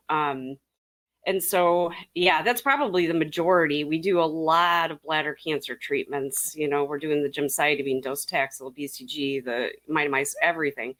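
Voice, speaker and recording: Chatty woman urology nurse, lower voice. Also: fairly bright tone. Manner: chatty, rambling with restarts and clinical terms. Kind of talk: casual panel chat.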